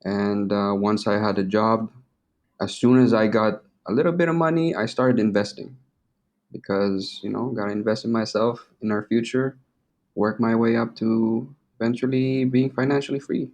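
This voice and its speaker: Low-pitched young man, casual and slangy. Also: moderate pace, a little mellow. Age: 20s. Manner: informal, slangy, swearing. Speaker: interview guest. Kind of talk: casual interview chat.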